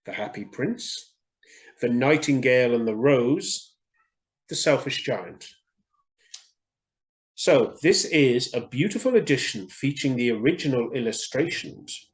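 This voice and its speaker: A man reading stories aloud to children in an expressive way, speaking directly to his young listeners. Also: medium pitch. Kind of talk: children's story reading, scripted narration.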